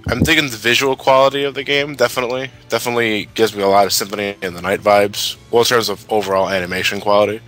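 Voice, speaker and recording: A man's voice: lowish voice, fairly even intonation, fast talker, hardly any pauses, fairly bright tone, rough recording. A man giving casual, critical game commentary in a dry, questioning way. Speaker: gaming commentator. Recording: game music behind the voice. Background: video game music.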